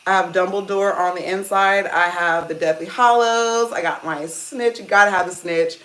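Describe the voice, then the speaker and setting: A woman with a deep voice hosting a casual hair-care livestream, chatting to viewers and calling them "you guys" while she steams her hair. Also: fairly even intonation, normal speed, a bit bright. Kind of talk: casual live-stream chat reading comments. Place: indoors at home, seated on a small chair. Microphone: phone.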